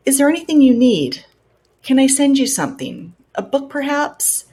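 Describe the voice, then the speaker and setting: A woman hosting a show, reading letters aloud in an earnest, measured way and adding pointed comments of her own. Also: high-pitched, big pitch swings, dark tone.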